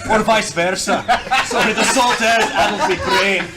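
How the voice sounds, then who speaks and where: A man's voice: high voice, steady tone, moderate pace, close to an everyday voice, poor audio. A man voicing a playful, salty seafaring adventurer with quaint sailor phrasing in a tabletop game. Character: jaunty salty seafaring adventurer with dark vision.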